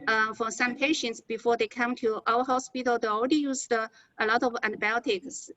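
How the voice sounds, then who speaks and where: Bright, higher-voiced Chinese-accented woman, halting. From China. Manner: halting, hesitant non-native English with repeated words. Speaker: physician presenting to colleagues. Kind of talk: medical presentation on a conference call. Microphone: conference call connection. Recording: dull, band-limited conference call audio.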